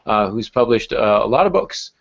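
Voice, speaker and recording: Low, gravelly man hosting a podcast. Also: expressive, moderate pace. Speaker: podcast host. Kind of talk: casual joking podcast talk. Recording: dull lo-fi podcast audio.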